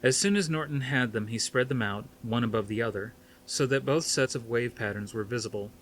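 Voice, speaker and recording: A man's voice: medium pitch, a little fast, a bit bright, a little hiss or hum. A man reading a novel aloud as scripted audiobook narration. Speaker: audiobook reader.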